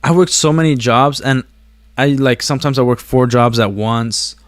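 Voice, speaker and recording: A man's voice: mid-range voice, normal speed, no room sound, studio quality. A man who learned English after other languages, chatting casually to viewers in a slangy, meandering, self-deprecating way. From born abroad, moved to the US as a child. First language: a language other than English. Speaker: vlogger talking to viewers. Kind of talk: casual rambling chat to camera.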